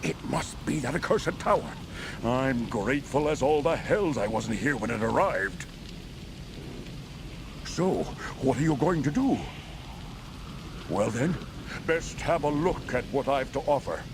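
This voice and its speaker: A man's voice: mid-range voice, expressive, measured pace, pauses often, noisy background. A man playing a nervous, self-interested merchant in a fantasy video game, dramatic and a bit hesitant. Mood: salesmanlike, nervous. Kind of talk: video game character acting.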